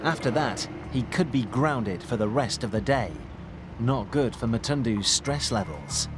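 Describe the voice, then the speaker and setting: A man narrates a TV documentary in punchy, suspenseful scripted phrases. His pitch is average for a man, and loud music runs underneath the whole voice-over. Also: lively intonation, a little slow.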